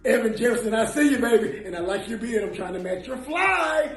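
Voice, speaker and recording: Excitable raspy man, high voice. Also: brisk. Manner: excitable, laughing, shouting catchphrases. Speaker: weather segment presenter. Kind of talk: comic weather forecast. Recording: echoey room with faint music.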